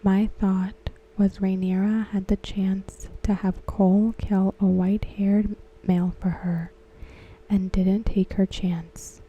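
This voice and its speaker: Slow, soft-whispering woman. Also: medium pitch, level tone, poor audio. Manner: soft unvoiced whisper throughout. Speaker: ASMR creator discussing a TV episode. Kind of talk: ASMR whisper.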